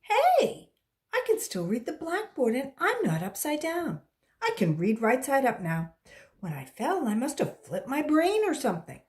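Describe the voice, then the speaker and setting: A woman reading a children's storybook voices an earnest, excitable young schoolboy. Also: high voice, a little slow, voice pushed up, full character voice. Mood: surprised. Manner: excitable, exclaiming.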